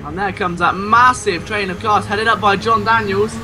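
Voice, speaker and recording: High-voiced man calling a motor race. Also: normal speed. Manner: excitable, exclamatory. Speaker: sim racing race commentator. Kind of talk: live play-by-play motorsport commentary. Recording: clear car engine noise behind. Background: racing car engines.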